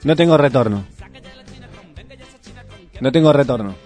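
A man co-hosts a radio show in a casual way. His voice is of medium pitch, with soft music in the background. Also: expressive, measured pace. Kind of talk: casual chat.

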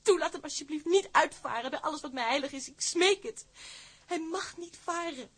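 An actress playing a timid, lovelorn young fiancée in a period drama, halting, formal and emotional. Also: female voice, high-pitched, fairly bright tone. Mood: desperate pleading. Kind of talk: Dutch period radio drama acting.

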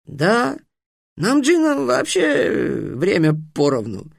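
A man's voice: sits high, wildly swooping pitch, normal speed, creaky, dry recording, studio quality, voice pushed up, exaggerated voice. A man doing a sly squealing genie. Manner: wheedling, tricky, squealing when scared. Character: boastful sly young male genie.

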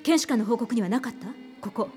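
A woman plays a composed, analytical police investigator of senior rank. Her voice sits a little high and carries deliberate authority. It is radio drama acting with clear music behind. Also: expressive, darkish tone, natural voice. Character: cool, analytical senior female detective.

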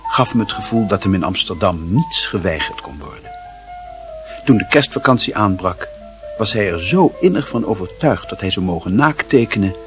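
A man narrating a literary radio play as a wry storyteller with a husky voice. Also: fairly low pitch, lightly acted.

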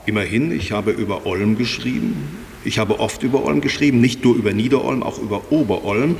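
A man's voice: on the low side, a little fast, gravelly. A man reciting first-person literary text in repetitive, listing phrases. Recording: reverberant hall with faint noise, clicks.

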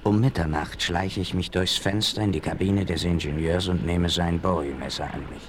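An actor playing a scheming, bossy outlaw gang leader in a conspiratorial, commanding manner in a 1960s radio play. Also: male voice, low voice, steady tone, normal speed, lightly acted. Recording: noisy 1960s vinyl record rip.

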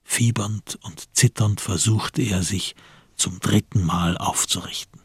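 An actor as the grave narrator of a crime radio play, reading scripted prose in a gravelly, husky voice. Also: male voice, lowish voice, slow pace, no room sound, clean studio sound, heavily acted.

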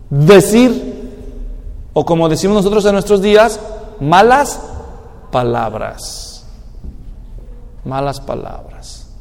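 A man preaching a sermon in an emphatic manner, now and then mimicking people's excuses. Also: sits high. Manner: emphatic, acts out excuses in a mocking tone. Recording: long hall reverb.

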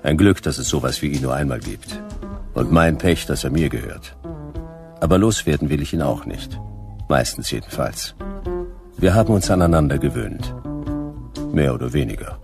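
An actor playing a weary, wisecracking private detective in a radio play, laconic and sardonic in a noir style. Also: male voice, extremely low pitch, lively intonation, moderate pace, creaky, pauses often, over music, exaggerated voice. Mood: resigned.

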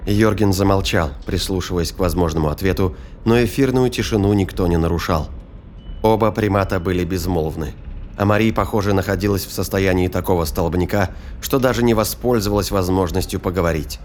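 A man narrating a scripted science-fiction thriller in a measured, formal storytelling manner. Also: low-pitched, darkish tone, a little hiss or hum.

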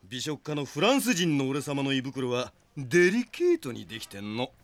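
A man's voice: mid-range voice, big pitch swings, normal speed. An actor playing a brash, impassioned French swordsman bent on avenging his sister in a Japanese audio drama. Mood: grumbling.

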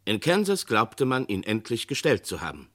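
A male narrator of a vintage radio play with a slightly low voice. He speaks gravely and evenly, setting out each line with care. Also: huge pitch swings, fairly bright tone. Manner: grave, measured. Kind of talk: scripted narration.

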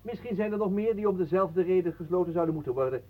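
An actor playing a talkative, mock-polite wanderer with florid, absurd philosophy in a radio play. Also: male voice, high-pitched, lively intonation, fast talker. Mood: florid, teasing. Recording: muffled, narrow old radio recording.

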